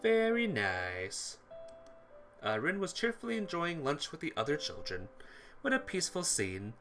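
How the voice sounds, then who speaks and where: A man reading a visual novel aloud as a playthrough host, narrating in an expressive, theatrical way. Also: a little high, a little fast. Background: music.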